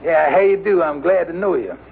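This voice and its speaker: A man playing an earnest, good-natured, worrying young fellow in an old radio comedy, hesitant and folksy, with a creaky voice. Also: a little high, brisk. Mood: shy. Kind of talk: old-time radio sitcom acting. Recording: narrow-band vintage radio recording, faint hiss.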